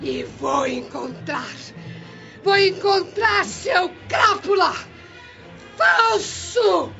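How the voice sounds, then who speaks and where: A vampire-like old beggar spirit with a Brazilian accent, furious and false. Her voice is extremely high, piercing and gravelly, wailing and cursing as she makes demands. It is radio drama with music behind. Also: lively intonation, extremely slow, pushed and bright, dull audio, heavily acted. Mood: furious, demanding.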